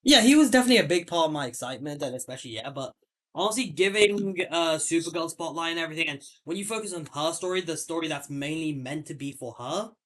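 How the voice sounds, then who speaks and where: A man's voice: a little high, lively intonation, fast, fairly bright tone. Rambling male podcast host. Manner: rambling, many fillers and restarts. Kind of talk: casual film discussion.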